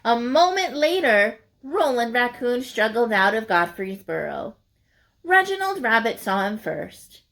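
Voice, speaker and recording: Female children's storyteller, fairly high voice, very unhurried, expressive and emphatic read-aloud delivery. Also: vocal fry. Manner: deliberate, emphatic, addressing young listeners. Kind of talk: expressive picture-book narration.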